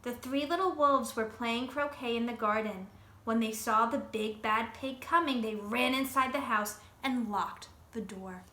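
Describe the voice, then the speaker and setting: Bright-voiced woman reading a storybook aloud. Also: on the high side. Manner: expressive, pausing to ask listeners questions. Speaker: teacher reading to children. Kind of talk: animated picture-book read-aloud. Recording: roomy echo, faint background noise.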